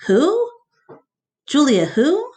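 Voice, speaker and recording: Blustering male huckster for a children's book, played by a woman, loud salesman manner, raised and very animated pitch. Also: extremely slow, heavily acted. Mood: angry, demanding. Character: Mac, blustering, demanding man who runs a mall attraction. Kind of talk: storybook read-aloud character voice.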